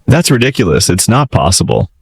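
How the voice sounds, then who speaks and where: Guy reading as desperate young girl in a storybook, earnest, pleading, pitch jumping around. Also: sing-song, a little fast, breathy edge, no room sound, full character voice. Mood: pleading, worried. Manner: pleading, exclaiming. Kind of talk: fairytale storybook voice.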